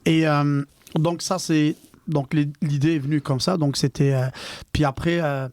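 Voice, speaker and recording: A man's voice: a little high, fairly even intonation, a little slow, a little mellow, no echo. A man hosting a podcast interview, supportive and often finishing his guest's sentences. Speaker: podcast host.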